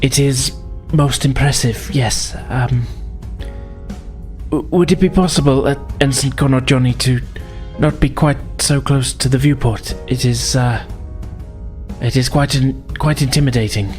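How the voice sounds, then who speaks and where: A man voicing a timid alien pilot in a tabletop game, speaking haltingly with stiff, apologetic formality. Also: mid-range voice, steady tone, darkish tone, faint music behind, lightly acted. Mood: nervous.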